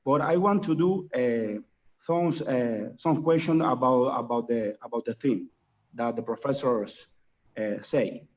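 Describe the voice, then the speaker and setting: A young Cuban man, a native Spanish speaker, asking a question on a webinar in halting English and repeating his words. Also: mid-range voice. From Cuba. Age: young adult. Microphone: video call. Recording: dull lo-fi call audio.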